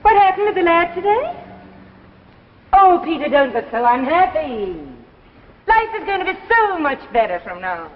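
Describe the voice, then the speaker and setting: An actress playing a spiteful, taunting wife in a theatrical 1950s drama style, feigning innocence. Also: female voice, very high voice, sing-song, normal speed. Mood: coy. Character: spiteful wife gloating over destroying her husband's work. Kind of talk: 1950s anthology drama acting. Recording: old muffled hissy broadcast, reverberant hall.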